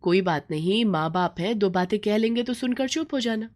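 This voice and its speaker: A woman's voice: medium pitch, animated, moderate pace, a little mellow, voice pushed up, lightly acted. A woman voicing a blunt, unfazed young roommate, matter-of-fact, in a storybook tale. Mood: pragmatic.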